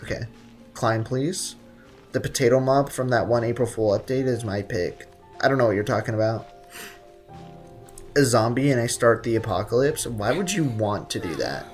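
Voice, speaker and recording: A man's voice: mid-range voice, lively intonation, moderate pace, faint music behind. A man live streaming a video game, chatting casually with viewers in a slangy, joking, rambling way.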